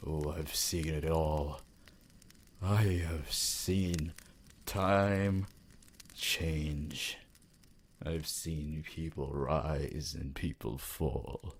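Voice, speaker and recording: A man's voice: very deep voice, steady tone, very slow, slight background noise, full character voice. A man voicing an ancient, courtly vampire lord in an ASMR roleplay, formal, theatrical and romantically devoted. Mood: wistful, adoring.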